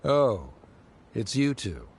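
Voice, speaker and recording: Lively-pitched, formal middle-aged scholar father. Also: slight background noise. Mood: reserved, formal. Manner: formal, measured phrasing. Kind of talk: video game voice acting.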